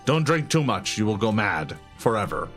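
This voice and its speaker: A man voicing an odd, cautious male cave creature in a tabletop game, who gives halting, repetitive warnings in simple speech. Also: fairly low pitch, sing-song, fairly bright tone, soft background music. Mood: anxious warning.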